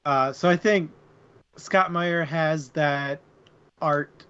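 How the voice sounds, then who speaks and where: Higher-voiced man, slow delivery, slightly dull sound. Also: steady tone, a bit bright, clear, resonant tone. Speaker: co-host of a book club show. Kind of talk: casual unscripted book discussion.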